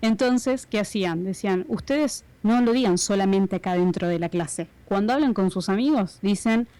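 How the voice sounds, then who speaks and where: A woman's voice: mid-range voice, moderate pace, mellow, no echo. A middle-aged woman with an Argentine accent, a radio announcer chatting and explaining with many examples as an interview guest. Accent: Argentine Spanish. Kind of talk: casual interview chat.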